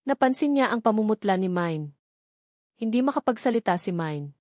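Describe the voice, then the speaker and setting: A woman's voice: medium pitch, expressive, normal speed, a little mellow, dry recording. A woman narrating a dramatic audio love story in an earnest storytelling way, close to the mic.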